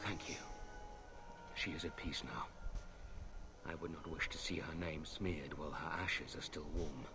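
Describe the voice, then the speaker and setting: An actor playing a grieving middle-aged knight officer in a video game, speaking in a grave, measured way. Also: male voice, a bass voice.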